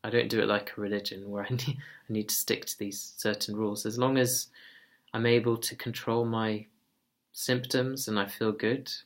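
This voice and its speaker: A man giving a casual personal update in a slow, monotone, calm voice. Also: on the low side. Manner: soft-spoken, relaxed, occasional quiet laughs. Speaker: vlogger.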